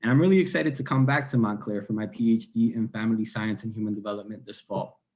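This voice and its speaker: A man in his twenties, a high school English teacher, introducing himself fluently and upbeat on a video call. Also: quick pace, darkish tone.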